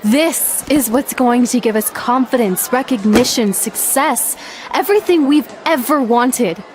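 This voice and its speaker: A woman's voice: on the high side, a little slow, close to an everyday voice. A woman playing a driven, serious young aspiring wrestler in a video game, earnest and no-nonsense. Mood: earnest, determined. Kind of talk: scripted video game cutscene acting. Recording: faint background noise.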